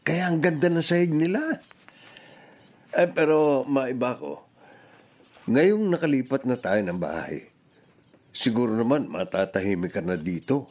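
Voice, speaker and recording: A man's voice: mid-range voice, animated, unhurried, pauses often, a little mellow. A man with a Filipino accent playing a selfish, scheming, defiant middle-aged father in a radio drama. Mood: smug, affable.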